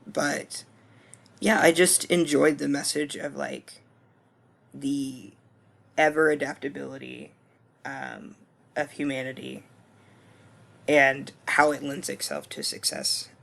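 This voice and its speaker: A man in his twenties with a hoarse voice, talking through a course screencast reflection in a casual, hesitant way full of ums and restarts. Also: medium pitch, lively intonation, slow pace, long pauses, a bit bright. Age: 20s. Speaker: student.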